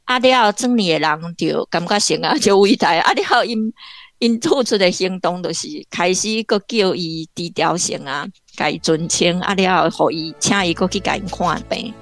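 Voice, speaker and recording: A woman's voice: lowish voice, normal speed, no echo. A woman with a Taiwanese accent giving conversational literary commentary in an explanatory way, like a guest academic.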